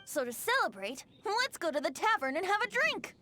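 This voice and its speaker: A woman's voice: extremely high pitch, very animated, moderate pace, over music. A woman voicing a breezy, theatrical young male bard in a video game. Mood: playful, proud.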